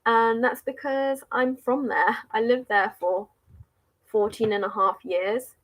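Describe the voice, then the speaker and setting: A woman's voice: fairly high pitch, takes it slowly, rough recording. Giggly English woman chatting on livestream. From England. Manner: chatty and giggly, with many likes and restarts. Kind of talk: casual livestream chat answering viewer comments.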